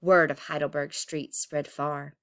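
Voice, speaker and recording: A woman reading a children's picture book aloud expressively, with deliberate, dramatic emphasis. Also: low-pitched, level tone, a bit bright. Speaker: storybook reader.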